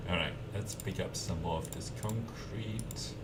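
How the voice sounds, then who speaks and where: A man with a mild German accent softened by an Australian twang talks slowly and easily in a low voice while he streams a building game. The mic sits some way off, and keyboard typing clatters clearly behind him. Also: recorded from a distance, heavy background noise. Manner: easygoing, chatty, answers viewer questions between tasks.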